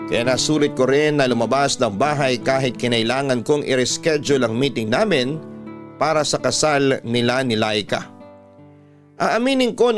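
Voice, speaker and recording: Casting: Filipino male storyteller, mid-range voice, classic radio narration of a personal story. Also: medium pitch.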